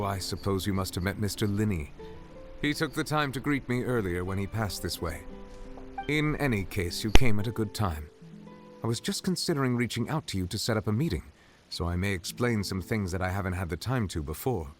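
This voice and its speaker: A man playing a grave, deep-voiced dragon sovereign. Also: expressive, close to an everyday voice. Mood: solemn. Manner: formal, measured, solemn explaining. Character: ancient dragon sovereign in human form, grave and measured. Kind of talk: game character dialogue. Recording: game audio with music behind. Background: music.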